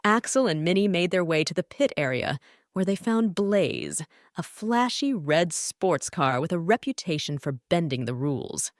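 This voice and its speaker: A woman's voice: fairly low pitch, animated, normal speed, no room sound, studio quality. A woman narrating a scripted bedtime story for children as a storyteller.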